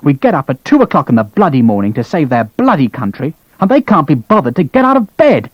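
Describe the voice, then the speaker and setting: A man's voice: a little high, sing-song, moderate pace, no room sound, highs cut off. A man voicing a furious, exhausted British cavalry colonel who rants and curses. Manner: ranting, shouting, cursing.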